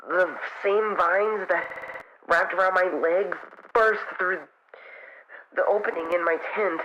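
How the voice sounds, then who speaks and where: Man voicing a halting, bright-voiced young fox adventurer. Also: high-pitched, full character voice. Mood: panicked. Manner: hesitant, halting, vulnerable. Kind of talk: audio drama monologue as a recorded log. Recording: dull lo-fi recording with noise, clicks.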